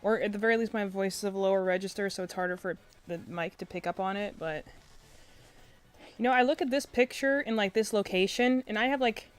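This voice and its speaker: A young woman with a quiet, soft voice chatting casually on a game stream, rambling with lots of fillers and restarts, close to her desk mic. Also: medium pitch. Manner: rambling, filler-heavy, self-interrupting. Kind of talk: casual live-stream chat. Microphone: desk mic close to face.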